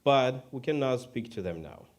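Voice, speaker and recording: A man from Ukraine with a Ukrainian accent preaches informally in English as a second language, halting and self-deprecating. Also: medium pitch, expressive, a little mellow. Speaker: guest preacher. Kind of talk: informal sermon.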